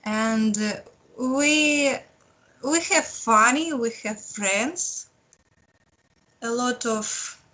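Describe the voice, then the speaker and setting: Bright, high-voiced Russian-speaking woman. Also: drawn-out words, pauses often. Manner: hesitant, searching for words, laughing softly. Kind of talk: casual online group chat.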